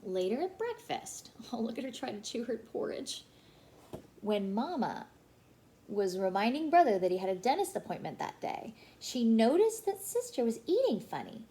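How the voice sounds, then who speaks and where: A woman reading a children's story aloud in an expressive, animated way, pausing for emphasis. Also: on the high side, slight background noise.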